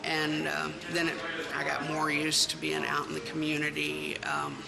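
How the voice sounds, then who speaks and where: A person speaking plainly and reflectively in a testimonial video. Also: female voice, low-pitched, completely flat delivery, moderate pace, gravelly, over a noisy crowd, poor audio. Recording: video clip with music underneath.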